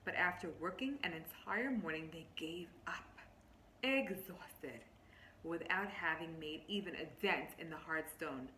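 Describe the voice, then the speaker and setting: Woman, children's read-aloud teacher. Lowish, bright voice, instructive and expressive, speaking directly to young listeners. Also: a little slow. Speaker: teacher reading a picture book to children. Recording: roomy, echoing, faint background noise.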